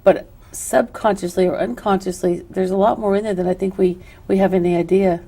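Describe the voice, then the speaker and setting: Mellow-voiced chatty woman host. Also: mid-range voice, steady tone, fairly quick, slightly rough. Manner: rambling, chatty questions with many "you know"s. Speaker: TV show host. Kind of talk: casual interview. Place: local cable TV studio.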